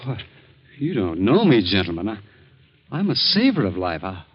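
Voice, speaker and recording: A low-voiced, highly animated, flustered scientist in a vintage radio drama. He is mild and principled, prone to stammering indignation. The old recording sounds muffled. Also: normal speed, breathy edge, full character voice. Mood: horrified, indignant. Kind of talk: 1940s radio acting.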